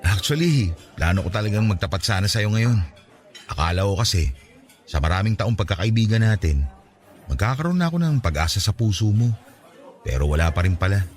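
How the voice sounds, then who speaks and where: A man's voice: on the low side, lively intonation, brisk, soft background music, natural voice. An actor with a Filipino accent playing an earnest, lovestruck young man pleading his case in a radio drama. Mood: disappointed, accepting.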